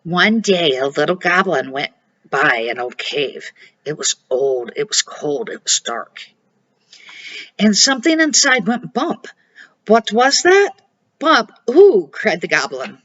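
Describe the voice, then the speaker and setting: An older woman reads a picture book aloud for children. Her voice is bright, with homespun phrasing and dramatic pauses, captured on a slightly muffled home recording. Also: deep voice, very animated. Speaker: storybook reader and series host. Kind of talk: storybook narration for children.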